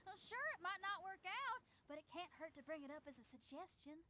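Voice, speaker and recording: High, spirited young rocker girl. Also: fairly quick, very noisy. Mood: encouraging, excited. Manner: energetic, breezy, confident. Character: upbeat, bold young female rock musician. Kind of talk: game cutscene dialogue.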